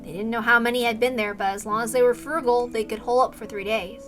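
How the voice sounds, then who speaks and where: A woman reads a visual novel aloud as a streamer, narrating the story expressively. Her voice is fairly high and bright, and faint music plays behind it. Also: fairly even intonation, fast.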